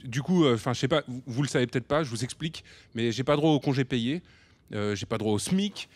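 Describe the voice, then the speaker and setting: An actor playing a sheepish, self-justifying delivery rider in a radio drama, with a hesitant, stammering way of talking. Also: male voice, medium pitch, brisk, husky, no echo, natural voice. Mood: aggrieved. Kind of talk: radio drama, naturalistic confession.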